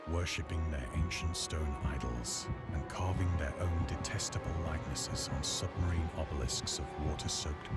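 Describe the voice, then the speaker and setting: An actor voicing a haunted, terrified sailor who narrates a horror tale in a grave, theatrical manner. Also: male voice, very deep voice. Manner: grave, ornate, theatrical delivery. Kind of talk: dramatic horror narration for a visual novel. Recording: loud music under the voice.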